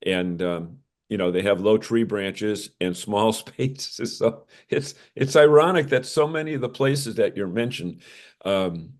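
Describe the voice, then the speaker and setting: An American man with a slightly low voice chats as co-host of a news show. His delivery is casual and conversational, like someone discussing stories with a colleague. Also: unhurried.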